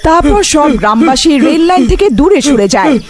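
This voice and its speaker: A woman's voice: sits high, lively intonation, brisk, over loud music. A woman narrating a scripted story in a dramatic storytelling style.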